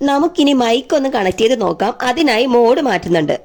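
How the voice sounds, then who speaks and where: A woman's voice: on the high side, animated, fast, a little mellow. Woman narrating over music. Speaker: product reviewer and presenter. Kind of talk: scripted product review narration.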